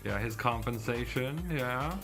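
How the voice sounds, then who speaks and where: A man's voice: mid-range voice, unhurried, over music. A man doing casual livestream commentary on a visual novel, thinking aloud in a muttering way and swearing casually. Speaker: streamer reading a visual novel.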